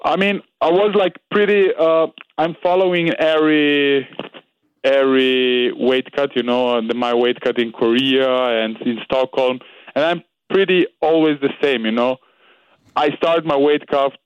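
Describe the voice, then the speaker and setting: Austrian-accented man, smooth dull-toned voice. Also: mid-range voice, no echo. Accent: Austrian German. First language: German. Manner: halting, searching for English words, many "you know"s. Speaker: guest fighter. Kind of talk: interview over a call.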